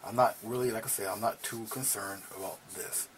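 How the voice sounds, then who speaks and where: A man's voice: fairly quick, a little hiss or hum. A middle-aged man teaching painting in a small home studio, talking casually as he works and often dropping to a murmur. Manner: relaxed, talks while he paints, drops to low murmurs over the brush work. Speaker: painting instructor.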